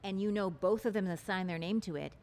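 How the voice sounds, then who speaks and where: A woman's voice: medium pitch, a little fast, darkish tone. A woman guest in an interview, talking about relationships in an articulate, expansive and earnest way. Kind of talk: interview conversation.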